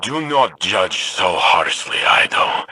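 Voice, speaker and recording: Grave deep alien elder. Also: male voice, sing-song, slow pace, sharp tone, breathy edge, dry recording, heavily acted, rough recording. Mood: solemn. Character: solemn deep-voiced male alien elder, gentle.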